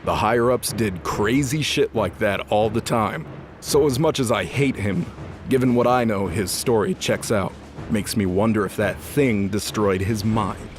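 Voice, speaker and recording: Man playing a low-voiced, cynical fallen angel fighter. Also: measured pace, noisy background, natural voice. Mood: sardonic. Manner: sarcastic, gruff, swearing. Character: cynical, sardonic disgraced male angel fighter. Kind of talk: audio drama acting. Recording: music under the voice.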